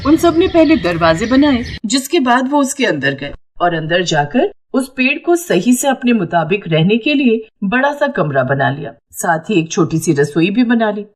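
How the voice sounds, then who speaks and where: A woman's voice: medium pitch, very animated, moderate pace, few pauses. A woman narrating a scripted moral story as the storyteller.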